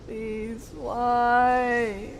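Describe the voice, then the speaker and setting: Female game streamer, very high voice, unhurried and drawn-out pace, excitable slangy reactions, loud music behind her. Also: vocal fry. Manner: excitable, slangy, exclaiming and whining in frustration. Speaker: live streamer playing a horror video game. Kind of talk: casual gaming stream commentary, reacting and chatting with viewers.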